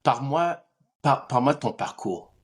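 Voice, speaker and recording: Un homme qui anime une interview décontractée en français et qui rit avec son invité. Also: male voice, medium pitch, animated, moderate pace, husky. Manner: friendly, laughs along with his guest. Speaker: podcast host. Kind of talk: casual interview hosting.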